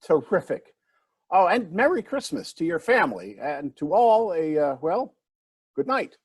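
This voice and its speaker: A man's voice: fairly high pitch, sing-song, measured pace, slightly rough, exaggerated voice. A man acting in a play reading as a polite, apologetic investor, formal and slightly bumbling. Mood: eager, courteous. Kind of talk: virtual stage play reading.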